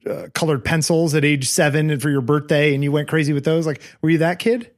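A man from Connecticut hosts a relaxed interview and speaks a touch higher than most men. He asks curious, winding questions and fills his pauses with "um" and "like." He is recorded in an artist's studio. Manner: curious, rambling questions with fillers. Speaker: podcast host. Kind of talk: casual interview chat. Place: painting studio indoors.

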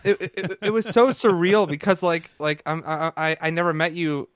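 A man's voice: fairly high pitch, fairly even intonation, normal speed, no room sound. A man from Pennsylvania, a guest on a podcast, chatting casually, stammering and restarting often.

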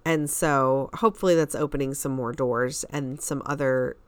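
A woman's voice: extremely low pitch, steady tone, moderate pace, clean tone, no room sound. A woman co-hosting a podcast, giving thoughtful commentary in casual conversation. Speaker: podcast co-host.

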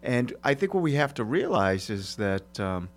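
A man with an average-pitched voice co-hosts a casual talk show. He talks in an easy, anecdotal way and teases his partner. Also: big pitch swings, moderate pace, faint music behind. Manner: conversational, anecdotal, lightly joking.

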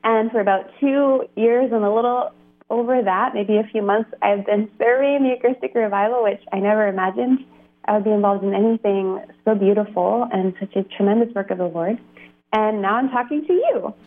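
A woman's voice: mid-range voice, a little fast. A woman, a religious sister, telling her story as a guest in a chatty, upbeat way. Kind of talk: radio interview, phoned in. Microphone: phone. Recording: tinny phone line.

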